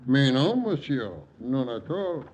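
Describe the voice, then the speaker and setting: Old french guy from the resistance, french accent, really slow and polite, old radio play. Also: mid-range voice, big pitch swings, a little mellow, muffled recording. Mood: discreet, conspiratorial. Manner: measured, deferential, slow. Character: courteous, sombre old Frenchman, wartime resistance patriot. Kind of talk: 1940s-50s radio drama acting.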